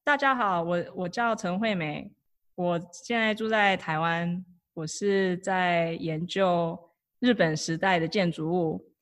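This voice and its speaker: Woman guest on a podcast, lowish voice, chatting thru her story, kinda reflective. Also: unhurried. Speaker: podcast guest, architecture researcher. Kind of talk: conversational personal storytelling.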